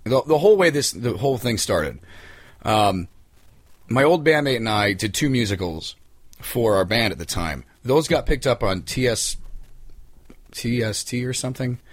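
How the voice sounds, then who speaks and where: A man in his twenties with a mid-pitched voice, recorded close with hardly any room sound. He tells anecdotes as an interview guest in relaxed conversation, a little hesitant, wry and modest about himself. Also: normal speed, no echo. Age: 20s. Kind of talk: casual chat, telling anecdotes.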